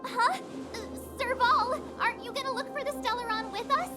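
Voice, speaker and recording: An actress playing a perky, excitable, naive young girl adventurer in a video game. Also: very high voice, normal speed. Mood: surprised. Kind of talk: English game voice acting, cutscene dialogue. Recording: music behind the voice.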